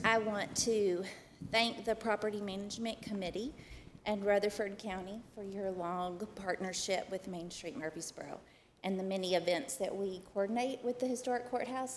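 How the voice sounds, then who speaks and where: A soft-spoken woman, a program director, giving a formal prepared presentation to a county committee. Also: mid-range voice, in a large hall, slight background noise. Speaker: executive director of a downtown program. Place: county committee meeting room.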